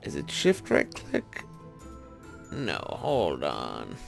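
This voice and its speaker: A man's voice: extremely low pitch, wildly swooping pitch, extremely slow, vocal fry, faint music behind. A man giving casual gameplay commentary, thinking aloud and tentatively talking through each step as he goes. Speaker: gaming video host.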